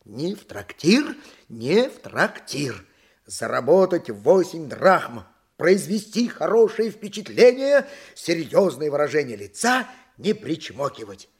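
An actor playing a boastful, simple donkey driver who likes a drink, muttering and exclaiming theatrically in a radio play. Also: male voice, fairly high pitch, very animated, slow, breathy edge, heavily acted. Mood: scheming, excited. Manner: muttering to himself, wheedling, exclaiming.

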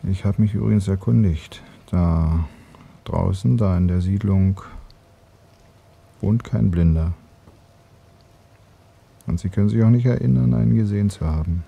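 An actor playing a dry, weary young husband in a radio play, talking in a laconic, understated way. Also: male voice, low-pitched, unhurried, vocal fry, pauses often, dark tone, slightly rough, heavily acted. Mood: casual, dry. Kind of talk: German radio play dialogue.

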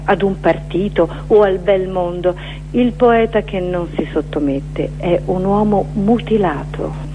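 A woman's voice: mid-range voice, mellow, low-quality recording. Declamatory woman reciting over audible noise. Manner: declamatory, emphatic, deliberate phrasing. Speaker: performer reciting poetry. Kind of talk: dramatic recitation of a poetic manifesto.

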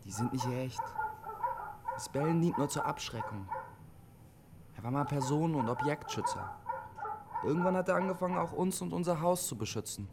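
A man's voice: fairly high pitch, animated, normal speed, long pauses. An actor playing a withdrawn CB radio operator in his twenties, laconic, in a naturalistic radio drama. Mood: subdued, guarded. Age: 20s. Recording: very noisy CB radio sound.

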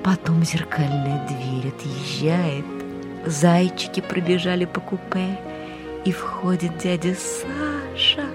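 Troubled, childlike wife in audio play; unhurried, dreamy, emotional delivery, clear music bed behind her. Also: lowish voice. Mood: dreamy, blissful. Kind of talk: dramatic monologue in an audio play.

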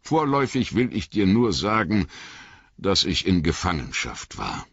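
A man reading an audiobook voices an old wizard who speaks gravely and kindly, slow and measured. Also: low-pitched, very animated, fairly bright tone, no echo, lo-fi sound, exaggerated voice.

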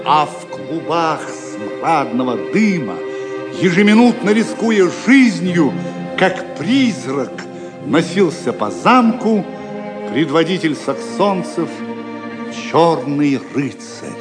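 A man's voice: a little high, very animated, takes it slowly. A man narrating an audio drama from a script in a solemn, theatrical manner. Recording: music clearly behind the narration.

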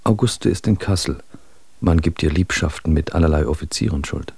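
A man's voice: rumbling low, moderate pace, gravelly, mellow, slightly rough, no room sound, heavily acted. An actor playing a male letter writer who passes on family gossip in a matter-of-fact way in a radio drama. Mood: gossipy, reporting. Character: gossipy male correspondent reporting family scandal.

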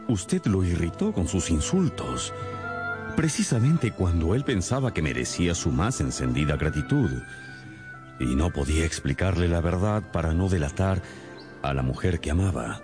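A shrewd, self-assured detective with a deep voice. He sets out his deductions deliberately and theatrically, with big swings in intonation, as in a radio drama with music behind him. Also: sing-song. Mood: assured, explanatory.

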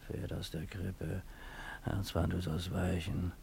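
A man acting an eerie folk healer who chants a charm in a German radio play, croaking and husky. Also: low voice, flat delivery, slow pace, dark tone, exaggerated voice. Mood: eerie chanting.

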